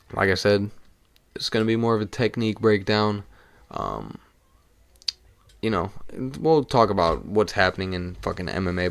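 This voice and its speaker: Young man, hoarse worn-out voice, low pitch, dry close sound, casual swearing podcast host. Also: no room sound. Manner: casual and profane, talks fast in bursts. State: hoarse, losing his voice. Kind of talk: casual solo podcast breakdown.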